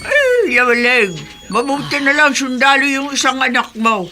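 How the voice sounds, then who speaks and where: A woman's voice: high-pitched, expressive, normal speed, sharp tone, soft background music. An actress playing a gossipy, prejudiced older neighbour woman in a radio drama, with a Filipino accent and a chatty, exclamatory manner.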